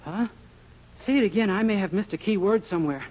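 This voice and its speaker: A man's voice: high-pitched, fairly quick. An actor playing a naive, well-meaning, bumbling young man in an earnest, guileless way, in a 1940s radio comedy. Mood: worried, flustered. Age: young adult. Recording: muffled, noisy 1940s radio recording.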